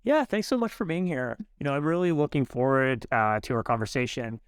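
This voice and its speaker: A man's voice: medium pitch, animated, a little fast, clean tone, dry recording, clean studio sound. A man hosting an interview podcast, asking questions conversationally with frequent fillers. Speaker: podcast host.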